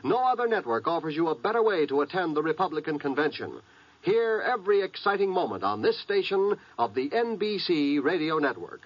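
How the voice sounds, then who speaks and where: Animated, husky male radio announcer. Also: fairly high pitch, a little fast. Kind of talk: scripted 1950s radio promo. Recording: muffled vintage 1950s radio broadcast.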